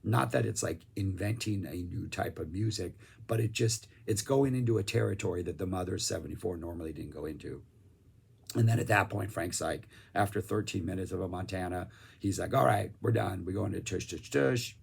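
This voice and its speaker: A man's voice: sits low, brisk. A man losing his voice, chatting casually and enthusiastically as he reviews concert recordings, rambling with lots of fillers. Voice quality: gravelly, raspy. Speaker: music reviewer.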